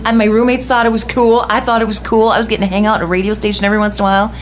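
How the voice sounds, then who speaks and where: A woman's voice: mid-range voice, little pitch movement, rapid-fire. A middle-aged woman from West Michigan, a radio host telling a long story in casual chat, often laughing and restarting her sentences.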